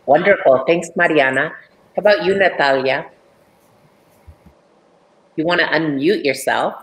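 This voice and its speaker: A woman moderating a panel over a video call, measured and welcoming. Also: deep voice, fairly bright tone, slight background noise. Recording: roomy echo on video call.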